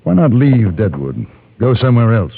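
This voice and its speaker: An actor playing a courteous, steadfast gentleman traveler in a radio western, formal and measured. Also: mid-range voice, sing-song, gravelly. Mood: courteous concern. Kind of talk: old-time radio western acting and narration. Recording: narrow, noisy vintage radio recording.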